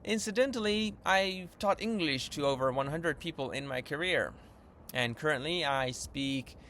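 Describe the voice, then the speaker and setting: A man's voice: fairly high pitch, moderate pace, a bit bright, a little hiss or hum. A man in his thirties with a Japanese accent, hosting a language lesson in an eager, earnest, upbeat way. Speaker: channel host. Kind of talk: enthusiastic vlog-style lesson intro.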